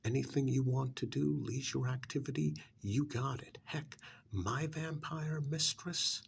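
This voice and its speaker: A very creaky, gravelly male actor as a smug vampire's familiar pitches the pampered life in an ASMR role-play. He speaks slowly and conversationally, coaxing and dismissive, often sinking into a breathy near-whisper. There is faint noise behind him. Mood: exasperated, persuasive, then boastful. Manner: conversational, cajoling, with rhetorical questions and pauses.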